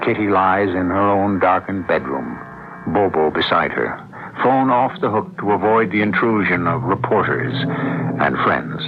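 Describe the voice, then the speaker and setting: A man hosting and narrating a radio drama in a measured, theatrical, rhetorical style. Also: low-pitched, animated. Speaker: host and narrator. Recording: tinny old radio broadcast, music behind. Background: clear music.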